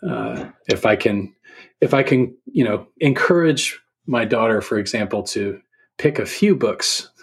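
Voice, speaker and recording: A man's voice: medium pitch, animated, measured pace, gravelly, slightly rough. A middle-aged man talking casually and thoughtfully as a podcast guest, feeling his way through his sentences. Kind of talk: casual reflective podcast conversation.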